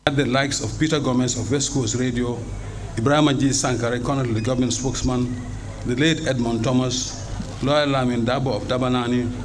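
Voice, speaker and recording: A man's voice: mid-range voice, steady tone, a little fast. Male news reporter over noisy audio.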